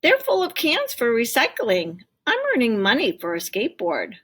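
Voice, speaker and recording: A woman's voice: on the high side, huge pitch swings, moderate pace, husky, voice pushed up, heavily acted. A woman voicing an eager, stubborn young boy in a storybook, speaking earnestly and insistently. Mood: defensive.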